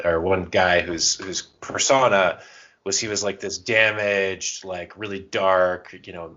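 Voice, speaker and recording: Muffled, lo-fi audio carries a very low-pitched man's voice. He talks casually as a podcast co-host, explaining things through examples in a loose, conversational way. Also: sharp tone. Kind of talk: casual conversational advice and anecdotes. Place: podcast recording. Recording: dull, muffled lo-fi sound.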